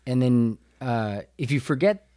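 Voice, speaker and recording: Man, podcast guest, average pitch, casual halting delivery, dry close sound. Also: expressive, dark tone, dry recording. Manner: halting, informal, swears casually. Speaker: podcast guest, business owner. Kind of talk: casual conversational interview.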